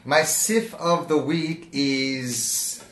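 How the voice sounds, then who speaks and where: A man in his late thirties talks as a podcast guest in a mid-pitched voice. He muses earnestly about his ideas, and a roomy echo surrounds him. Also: fairly even intonation, very slow, a bit bright. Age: late 30s. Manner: earnest, musing, with bursts of laughing protest. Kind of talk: casual chat.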